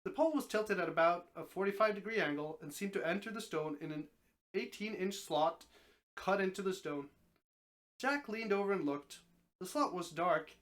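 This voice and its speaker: A man's voice: high-pitched, fairly bright tone. A man reading a story aloud live in an informal narrating style, occasionally stumbling and rereading.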